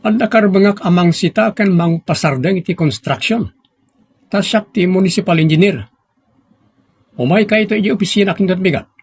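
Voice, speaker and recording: An actor playing an arrogant, stern municipal engineer in a radio drama, curt and domineering. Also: male voice, sits high, moderate pace, slightly rough. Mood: commanding. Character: arrogant, stern male municipal engineer abusing his authority.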